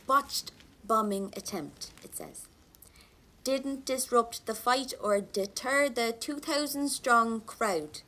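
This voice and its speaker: A young Irish rebel in a 1920s audio drama. She has a mid-range voice and tells her story in a colloquial, expressive way. Her temper is wry and war-weary. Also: mid-range voice. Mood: reading aloud. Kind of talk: first-person audio drama monologue.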